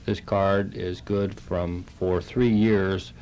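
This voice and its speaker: A man, a halting and plain-spoken interview guest, explaining things on the radio. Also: deep voice, level tone, darkish tone. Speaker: interview guest, Red Cross chapter director.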